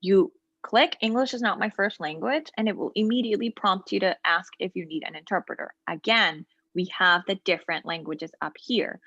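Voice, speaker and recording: A woman trainer patiently walking a practice client through online software during a role-play interview. She explains each step and often pauses with ums and short asides. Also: mid-range voice, slightly rough, no echo, highs cut off. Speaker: legal aid trainer. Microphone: video call.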